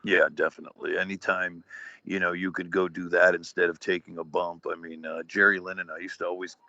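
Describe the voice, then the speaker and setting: A man's voice: sits low, flat delivery, a little fast, sharp tone, no echo. A man in his forties chatting casually on a podcast, rambling with lots of fillers and wrestling slang. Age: 40s. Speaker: guest, retired wrestler. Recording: dull lo-fi podcast audio, dry.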